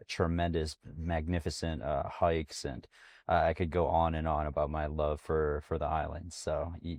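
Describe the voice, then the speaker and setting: A man's voice: extremely low pitch, a little mellow, no echo. A man chatting casually as a sports podcast co-host. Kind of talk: casual chat.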